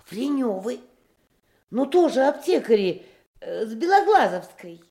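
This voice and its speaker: High-voiced, pitying gossipy witness. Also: female voice, sing-song, a little slow, warm tone. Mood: recalling, hesitant. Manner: colloquial, hesitant, sympathetic. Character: simple, talkative woman witness, pitying and gossipy. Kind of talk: Soviet radio drama acting.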